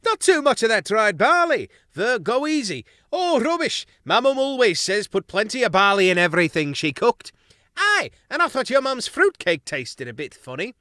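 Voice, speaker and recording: A man voicing a crew of cheeky young mouse sailors squabbling over a stew pot in a storybook, in high, rowdy voices. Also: big pitch swings, normal speed, sharp tone, no room sound, clean studio sound, exaggerated voice. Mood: bickering. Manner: squeaky, rowdy, working-class banter.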